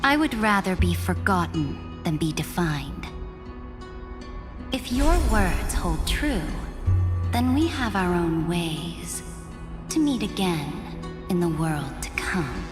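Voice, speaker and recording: An actress playing a proud, resolute female monarch in a game, speaking in a measured, commanding way. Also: lowish voice, sing-song. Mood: regal. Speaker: game character. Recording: game cutscene with music underneath.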